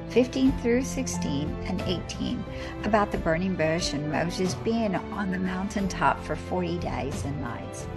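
A woman reads a scripted Bible study devotional in a measured, enunciated manner. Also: lowish voice, gravelly. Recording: background music under voice. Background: music.

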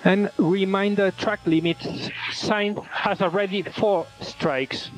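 High-pitched male race engineer on noisy radio. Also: steady tone, takes it slowly. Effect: team radio. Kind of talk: terse radio instructions and callouts to a driver. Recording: noisy motor racing team radio. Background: vehicle and engine noise.

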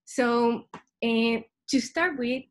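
A young woman with a Chilean Spanish accent presents science informally. Her voice sits a little high. She speaks as a graduate student explaining research over a webcam call from a room at home. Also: extremely slow. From Santiago, Chile. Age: young adult. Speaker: neuroscience PhD student, presenter. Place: small indoor room at home. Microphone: webcam video call.

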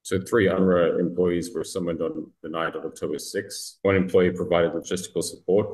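A man co-hosting a podcast, reading news items out loud in a flat, matter-of-fact way over a video call. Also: deep voice, moderate pace, low-quality recording. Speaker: podcast co-host.

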